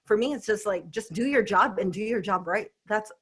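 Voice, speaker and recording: Woman guesting on a podcast, mid pitch, blunt and outspoken, lots of likes and you-knows. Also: normal speed, a bit bright, husky. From Philippines. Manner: outspoken and emphatic, with many fillers like "you know" and "like". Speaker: podcast guest, fitness professional. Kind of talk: casual podcast conversation.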